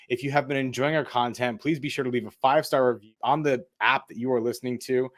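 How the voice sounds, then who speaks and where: A man hosting a podcast, friendly and energetic as he plugs the show and welcomes his guest. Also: mid-range voice. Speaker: podcast host.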